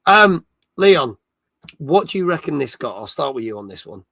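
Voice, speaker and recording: A man's voice: mid-range voice, big pitch swings, normal speed, gravelly, bright, forceful tone, no echo. A British man in his forties hosting a gaming live stream, chatting casually and reading out viewer comments. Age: 40s.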